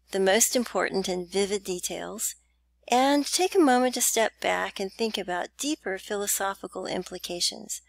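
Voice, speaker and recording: A woman's voice: mid-range voice, fairly bright tone, dry recording. Woman, writing teacher, casual slide narration, chatty, dry close mic. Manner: chatty, with light laughs and fillers. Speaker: writing instructor.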